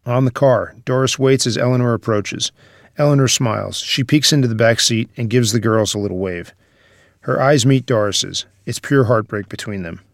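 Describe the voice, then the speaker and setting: Male narrator, mid-pitched, reading scripted stage directions, dry recording. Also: normal speed, gravelly, no echo.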